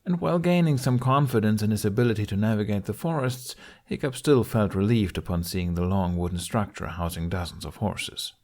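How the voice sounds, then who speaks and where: A man's voice: lowish voice, fairly quick, few pauses, darkish tone. A man reading a scripted story aloud as an audiobook narrator. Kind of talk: scripted narration of fan fiction.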